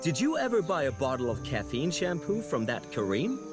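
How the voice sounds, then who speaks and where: Casting: young apprentice angel, naive, polite, easily flustered, very animated intonation, game dialogue over soft music. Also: male voice, on the high side, brisk. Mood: polite, probing. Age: young adult. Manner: hesitant, earnest. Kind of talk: video game character dialogue. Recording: music under the voice.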